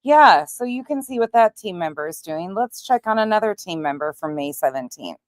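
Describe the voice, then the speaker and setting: A woman with a low-pitched voice gives sarcastic reaction commentary as a show host. The sound is dry and close, with very little room in it. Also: expressive, normal speed, no echo. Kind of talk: reaction commentary over video clips.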